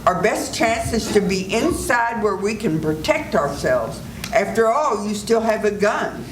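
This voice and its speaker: An older woman playing a scheming doctor who stays calm and smoothly persuasive in an old-time radio drama performed live. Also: mid-range voice, a little slow. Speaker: senior theater performer. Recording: roomy hall with audience noise.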